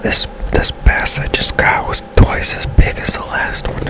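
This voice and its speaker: A man giving hushed ASMR game commentary in a breathy, unvoiced whisper, musing as he plays in an unhurried way. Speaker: gaming streamer.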